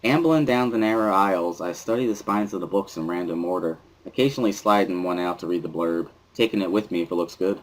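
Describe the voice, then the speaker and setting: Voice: deep voice, fast talker, few pauses, slight background noise, poor audio. A storyteller giving a dramatic reading of a first-person story as narrator.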